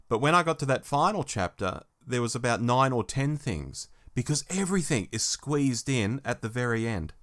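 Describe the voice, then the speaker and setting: Middle-aged man, mid-pitched podcast co-host. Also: a bit bright. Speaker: podcast co-host reviewing fiction. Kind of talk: casual chat, prompting co-host with questions.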